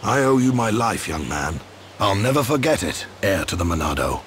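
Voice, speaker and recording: A man's voice: on the low side, very animated, normal speed, fairly bright tone, a little hiss or hum. A man playing a formal, solemn and dutiful military leader in a video game cutscene. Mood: solemn, grateful.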